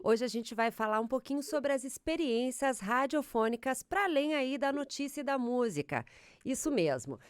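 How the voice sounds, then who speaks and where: A woman hosts an interview podcast in a friendly, well-paced manner. Her voice is smooth and clear and pitched fairly high. She is recorded dry and close to the mic. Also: animated, moderate pace, no room sound. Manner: friendly, smooth scripted intro. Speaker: podcast host.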